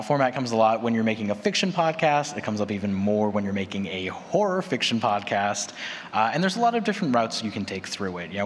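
Lowish male presenter, reverberant hall. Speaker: panelist guiding a slide presentation. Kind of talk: casual presentation. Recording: echoey hall, background noise.

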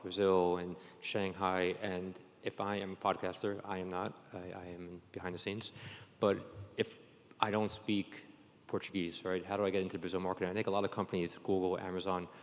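Low-voiced man in a reverberant hall. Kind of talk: panel discussion, off the cuff. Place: conference hall, live panel. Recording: echoey hall with long reverb.

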